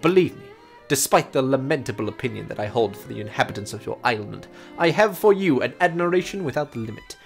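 A snooty, roguish French gentleman thief, as a man reads him out, grand and full of himself. The delivery is lively and swings in pitch. Faint music plays behind. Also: quick pace, vocal fry. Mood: haughty. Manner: grandiose, mock-French reading of a letter. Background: game music.

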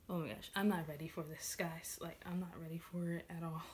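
A woman in her twenties chatting casually and excitedly as a reaction video host, halting and leaning often on "like". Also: on the low side. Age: 20s. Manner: excited, gushing; halting, repeats "like" heavily. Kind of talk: casual reaction vlog chat. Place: small room at home, indoors. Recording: clearly noisy home room recording.

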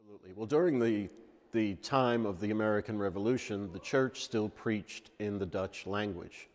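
An older man, a church elder, explaining church history at an unhurried pace with frequent ums. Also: on the low side, a little mellow. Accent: New York. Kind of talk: interview answers on church history. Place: large church sanctuary with Christmas tree. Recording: long reverb of a large church.

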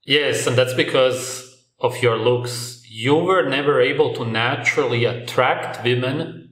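A man commenting bluntly over video clips, speaking in a bright apartment room. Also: mid-range voice, a little slow. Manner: dismissive, blunt critique. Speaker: reaction video host. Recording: roomy echo.

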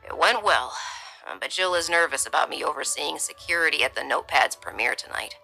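A weary middle-aged security chief, as a woman reader puts him on, speaking low and tired, often dropping to a near whisper. The tone is bright and sharp-edged. Soft music plays faintly underneath. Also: lively intonation, fairly quick, creaky, exaggerated voice, poor audio. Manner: tired, subdued, half-whispered.

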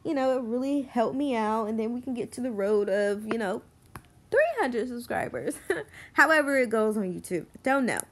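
A woman's voice: fairly high pitch, normal speed, a little hiss or hum. A young woman narrates a nail tutorial in a loose, chatty way, full of fillers and asides. Her voice sounds stuffy and congested, as if she has a cold. She speaks close to the mic. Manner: rambling, chatty, lots of fillers. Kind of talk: casual voice-over tutorial.

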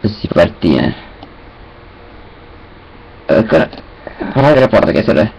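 A man's voice: fairly low pitch, big pitch swings, unhurried, vocal fry, darkish tone, husky, highs cut off. A young man mumbling casually to himself at a webcam in a small room, in disjointed phrases with sing-song filler sounds. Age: young adult. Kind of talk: rambling casual self-talk to webcam. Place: small cluttered room indoors.

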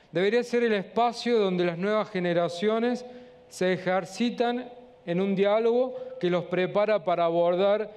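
A middle-aged man with a Córdoba-flavored Argentine accent delivers a public science lecture from a podium. His voice sits fairly high for a man, and he speaks in an earnest, measured way, pausing often to find his words. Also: darkish tone. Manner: measured, earnest, with frequent hesitations. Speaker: guest lecturer. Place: auditorium with a seated audience.

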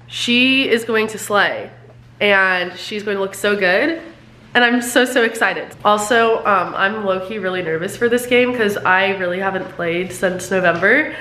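Young woman friend, bubbly and gushing, bright forceful voice; echoey room. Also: a little high. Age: 20s. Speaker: friend of the host. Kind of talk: casual vlog chat. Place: apartment room, indoors.

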